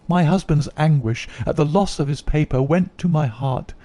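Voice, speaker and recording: A man's voice: a little high, moderate pace, warm tone, pitched above the performer's own voice, close to an everyday voice. A man voicing a troubled young noblewoman who tells her story in an earnest, confessional way. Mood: remorseful.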